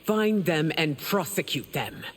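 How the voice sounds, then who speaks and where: A proud, stern game empress with formal, imperious diction. Her delivery swings widely in pitch. The voice rings in long reverb over noticeable noise. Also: lively intonation, unhurried. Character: regal, stern empress and mother, proud ruler. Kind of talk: dramatic video game dialogue.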